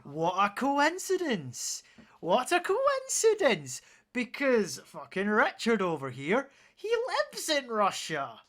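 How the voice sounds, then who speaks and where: Animated, sweary game streamer. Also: mid-range voice, slow. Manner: sweary, sarcastic, mocking. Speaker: streamer playing a video game. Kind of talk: reacting game commentary.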